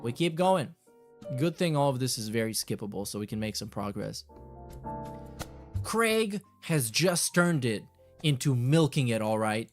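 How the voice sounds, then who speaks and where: A man's voice: medium pitch, big pitch swings, unhurried, faint music behind. A man hosting a reaction stream, reading messages aloud and commenting casually in a sardonic, dismissive, sweary way.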